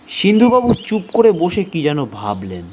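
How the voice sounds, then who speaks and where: A man's voice: high voice, huge pitch swings, normal speed, darkish tone, slight background noise. A man narrating a scripted horror story in the first person, in a dramatic storytelling style.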